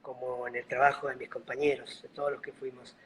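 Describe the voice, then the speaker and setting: A middle-aged man from Buenos Aires with a soft Argentine accent that carries a Bolivian lilt, calmly telling stories as the guest of a video-call interview. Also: medium pitch, slight background noise, poor audio. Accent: Argentine Spanish, slightly Bolivian-tinged. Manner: unhurried, gentle, fond of diminutives. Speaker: guest, mime and clown actor. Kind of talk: casual interview storytelling.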